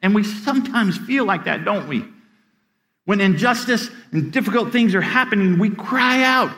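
A man's voice: high-pitched, expressive, normal speed, sharp tone. A middle-aged man preaching a sermon as pastor, earnest, often repeating words as he starts a sentence.

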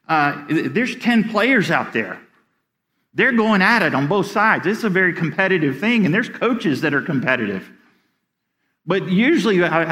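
A man's voice: sits high, a bit bright. A middle-aged man preaching a sermon as pastor, earnest, often repeating words as he starts a sentence.

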